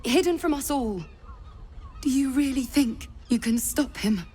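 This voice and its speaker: A woman playing a bitter, defiant vampire spawn in a video game, speaking gravely. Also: high-pitched, lively intonation, unhurried, dark tone, heavily acted.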